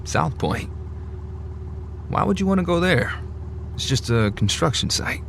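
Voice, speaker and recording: Man acting a slow, gravelly middle-aged cabbie. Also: on the high side, big pitch swings, a little hiss or hum, full character voice. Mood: curious, chatty. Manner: easygoing, casual, chatty. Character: friendly, chatty middle-aged male cab driver. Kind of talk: audio drama acting.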